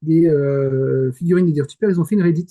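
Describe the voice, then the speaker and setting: A man's voice: a little high, fairly quick, warm tone. A man in casual panel talk about anime, speaking as an enthusiastic fan who often searches for his words. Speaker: correspondent in Japan.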